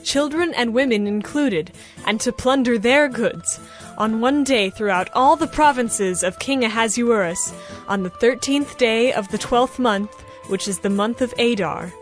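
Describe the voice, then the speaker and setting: A woman giving a formal, measured, scripted narration of a dramatized scripture story. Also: fairly high pitch. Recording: music behind the voice.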